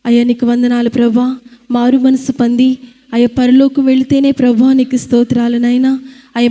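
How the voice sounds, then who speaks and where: A woman's voice: a little high, dark tone. A woman leading an extempore prayer, repeating devout invocations in short phrases. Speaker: prayer leader.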